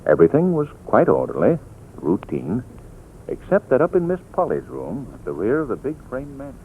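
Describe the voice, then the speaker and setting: An actor playing a suave, thoughtful gentleman telling a tale in a 1940s radio drama. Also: medium pitch, wildly swooping pitch, moderate pace, a little hiss or hum. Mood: measured storytelling. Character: suave, thoughtful gentleman storyteller, calm and worldly. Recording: tinny 1940s radio recording.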